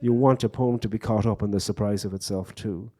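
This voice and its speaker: A man's voice: on the low side, steady tone, a little fast, warm tone. An Irish man, a poet, telling wry stories and reflecting in a live on-stage conversation at a bookstore event. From Ireland. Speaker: poet and guest speaker.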